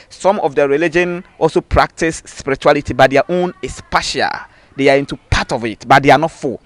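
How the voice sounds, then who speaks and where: Higher-voiced Ghanaian man explaining. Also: dry recording. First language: Twi. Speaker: babalawo spiritual practitioner, interviewee. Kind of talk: explanatory interview talk.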